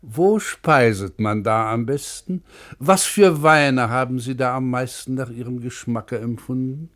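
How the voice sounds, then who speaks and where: A man reciting verse, fables and old texts in a declamatory, measured 1950s German radio style. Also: mid-range voice, a bit bright. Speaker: reciter in a literary radio programme.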